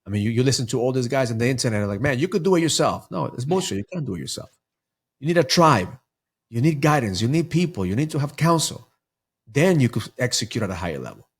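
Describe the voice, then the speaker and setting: A man's voice: mid-range voice, big pitch swings, fast, breathy edge. Man, middle-aged, earnest, from Ecuador, stumbling restarts, podcast guest. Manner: earnest, many repeated words and restarts. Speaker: guest, mindset coach. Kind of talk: podcast interview.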